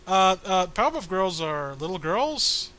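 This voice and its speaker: Man, high pitch, forceful bright tone, sarcastic podcast host, joking read-along commentary. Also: very animated, unhurried. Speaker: podcast host reading a comic aloud. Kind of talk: comedic reading with casual commentary.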